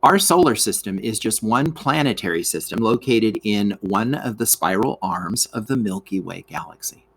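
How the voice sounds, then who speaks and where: A man reading a scripted educational lesson aloud for children, as a teacher, in an expressive way that speaks straight to the listeners. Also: fairly low pitch, moderate pace, gravelly, few pauses, breathy edge. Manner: expressive, addresses listeners with questions.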